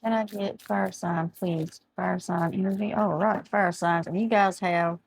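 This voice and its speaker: A middle-aged woman, chatting through a tarot reading and reading aloud from a card book, her voice going hoarse as she often loses her words. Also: fairly low pitch, fairly even intonation, a little slow, slight background noise. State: losing her voice. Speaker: tarot reader. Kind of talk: casual tarot reading to camera. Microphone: camera mic, speaking to camera.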